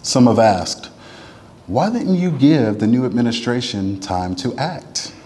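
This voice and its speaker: A man reading a written text aloud in a formal, oratorical style, with measured, deliberate and emphatic phrasing. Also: medium pitch, expressive, mellow. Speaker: reader at a church event. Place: large church interior. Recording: reverberant large hall.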